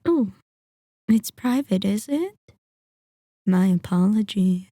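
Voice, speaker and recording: A woman's voice: mid-range voice, expressive, takes it slowly, warm tone, dry recording, studio quality. A woman voicing a sadistic, possessive female vampire in an intimate ASMR roleplay, speaking with seductive, mock-courteous menace. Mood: coaxing, insistent.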